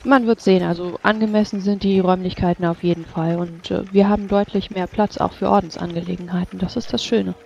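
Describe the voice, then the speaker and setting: A woman roleplaying in character at a fantasy tabletop game, in measured, formal phrasing. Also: lowish voice, steady tone, creaky, dark tone, slight background noise. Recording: dull, lo-fi voice chat.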